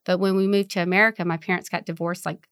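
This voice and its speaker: A woman's voice: fairly low pitch, level tone, fast talker, a bit bright, clear, resonant tone, no echo, studio quality. A woman in her forties from Vietnam, candidly telling her life story in an interview and laughing easily. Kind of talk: oral history interview.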